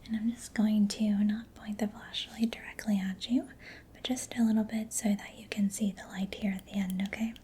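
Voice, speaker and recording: A woman doing soft-spoken ASMR roleplay in a breathy whisper, gentle, attentive and reassuring, close to the microphone. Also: medium pitch, steady tone, moderate pace. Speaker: ASMR performer. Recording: faint background noise.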